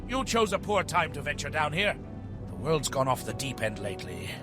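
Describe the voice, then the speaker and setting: A man voicing a gruff, world-weary male commander of an ancient stone people, over loud music. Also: a little high, sing-song, normal speed.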